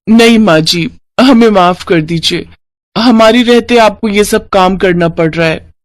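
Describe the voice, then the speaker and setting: A woman's voice: medium pitch, a little slow, no echo, natural voice. A woman voicing a contrite young daughter-in-law in a cartoon story, respectful and apologetic. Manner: apologetic, pleading.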